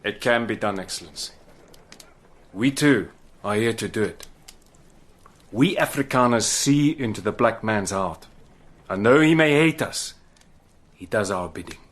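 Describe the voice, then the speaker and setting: An actor narrating in the first person and playing a cool, persuasive British agent in a radio drama, calm and measured. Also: male voice, fairly low pitch. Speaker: narrator and lead character.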